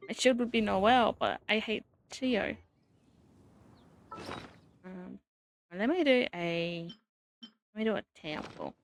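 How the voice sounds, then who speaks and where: A woman's voice: mid-range voice, slow, pauses often, a little hiss or hum. A woman giving casual live gameplay commentary as a game streamer. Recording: faint game music behind.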